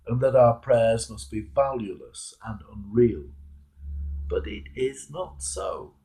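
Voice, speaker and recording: Slow, gravelly British clergyman. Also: on the high side, mellow, poor audio. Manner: measured, emphatic, repeats key phrases for weight. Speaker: Anglican clergyman. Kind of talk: devotional sermon reflection, read aloud.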